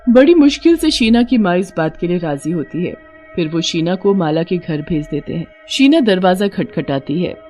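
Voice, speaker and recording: A woman's voice: fairly low pitch, mellow. Woman narrating a cartoon horror story.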